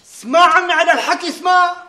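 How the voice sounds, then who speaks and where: An actor with a Syrian accent playing a boastful, stubborn, bungling son-in-law who argues cockily in a TV comedy drama. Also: male voice, extremely high pitch, rapid-fire, bright, forceful tone, echoey room. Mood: cocky, boastful. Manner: blustering, argumentative, cocky.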